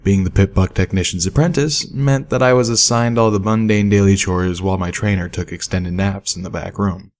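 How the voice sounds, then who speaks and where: A male audiobook narrator with a fairly low voice. He reads scripted first-person fiction as the teller of the tale. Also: gravelly.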